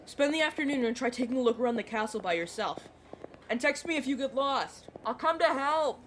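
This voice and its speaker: A woman's voice: sits high, animated, a little fast, slight background noise. A woman playing a chatty, playful young male vampire mentor in an audio roleplay, talking casually to the listener. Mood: sheepish, friendly. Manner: teasing, casual, dramatic about food. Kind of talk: audio roleplay acting, addressing the listener directly.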